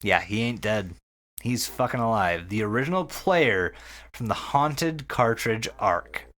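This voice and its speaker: Male podcast host, animated pitch swings, casual sweary storyteller, enthusiastic about the material. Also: a little slow. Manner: sweary, enthusiastic, with repeated words. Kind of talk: casual storytelling recap of an internet horror story.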